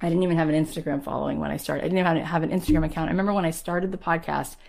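Low-pitched woman giving an emphatic motivational talk. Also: steady tone, very fast, vocal fry, a little mellow. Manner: emphatic, encouraging, repeats phrases for effect. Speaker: podcast host and motivational coach. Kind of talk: motivational coaching talk with personal anecdotes.

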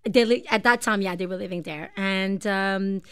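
A woman speaking on a radio show, her voice smooth and clean at a middling pitch. She tells her story in an animated, halting way, restarting her sentences often. The studio sound is dry and close. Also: dry recording. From Montreal, Canada. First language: French. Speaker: guest. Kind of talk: casual radio chat. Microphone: studio microphone.

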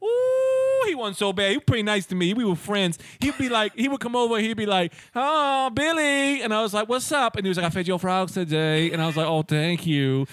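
A man playing a loud, profane frog collector from the Bronx with a New York accent, just out of a foreign prison, in an improvised comedy sketch. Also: expressive, brisk, few pauses, no echo, clean studio sound. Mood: chatty. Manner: loud, profane, rambling, high-pitched.

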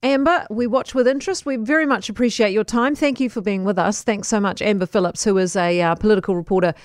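A woman's voice: medium pitch, quick pace, dry recording, clean studio sound. A woman with a New Zealand accent hosting a live radio news interview and putting questions to a guest.

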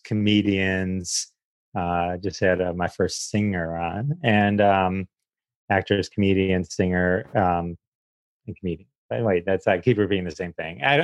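Low-voiced American man, casual interview guest. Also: no room sound. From Michigan, United States. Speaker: comedian and podcaster, guest.

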